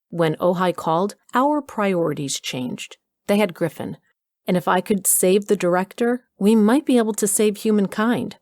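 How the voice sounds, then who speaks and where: A woman's voice: fairly low pitch, a little mellow, no room sound, close to an everyday voice. A woman playing a resolute, stealthy mother and doctor who narrates a scripted audio drama. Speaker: narrator and lead actor.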